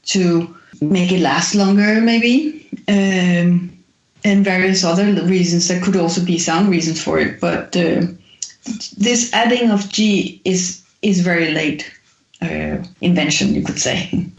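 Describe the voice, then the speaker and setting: A woman in her forties who grew up in Denmark, a guest musician explaining at length in a thoughtful, halting way with many fillers. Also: on the low side, echoey room, lo-fi sound. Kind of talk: explanatory interview talk.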